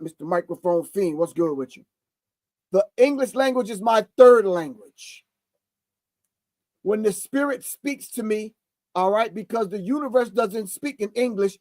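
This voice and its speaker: A man's voice: sits high, animated, a little slow, long pauses, darkish tone, no echo, clean studio sound. A man in his sixties hosting a show, reacting to a video with emphatic, preachy commentary and repeated key phrases. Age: 60s. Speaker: host.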